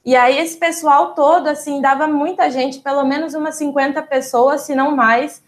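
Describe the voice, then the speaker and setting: A young Brazilian woman, a doctoral student, answering interview questions in a relaxed, explanatory way, with frequent small fillers and restarts. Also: sits high, normal speed. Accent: Brazilian Portuguese. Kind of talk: casual interview storytelling.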